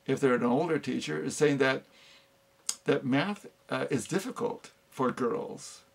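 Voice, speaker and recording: An older man giving an unscripted talk on philosophy, halting often with fillers and restarts. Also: mid-range voice, creaky, husky. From United States. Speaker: speaker on philosophy.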